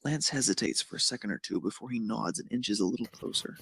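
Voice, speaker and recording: Gravelly, husky male narrator. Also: mid-range voice, animated, fast talker, fairly bright tone, no room sound. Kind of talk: scripted narration of romantic fan fiction.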